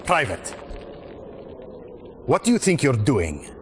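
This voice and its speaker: An actor playing a cold, authoritative senior military officer in a video game cutscene, speaking in a measured, deliberate way. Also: male voice, mid-range voice, huge pitch swings. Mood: coldly menacing. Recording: faint background noise.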